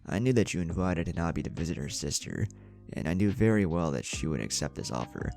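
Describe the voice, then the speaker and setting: Man reading as a haughty, gravelly clan patriarch. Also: sits low, a little fast, faint music behind. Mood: smug, calculating. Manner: impassive, monotone, condescending. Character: haughty, cold, stern clan patriarch father.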